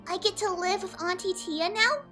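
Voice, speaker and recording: A woman voicing a small, innocent filly in a storybook reading, speaking in a high, sweet, childlike voice. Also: moderate pace, bright, forceful tone, faint music behind.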